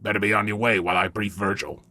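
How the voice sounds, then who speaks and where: An actor playing a brisk, authoritative father who leads a rescue team in an audio adventure. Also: fairly low pitch, little pitch movement, bright, forceful tone. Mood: brisk, businesslike. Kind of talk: audio adventure story acting.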